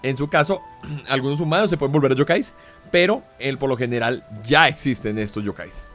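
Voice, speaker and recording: Male host, mid-pitched, talks at length, faint music. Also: brisk, a bit bright. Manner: clarifies at length with restarts. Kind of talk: talk show hosting.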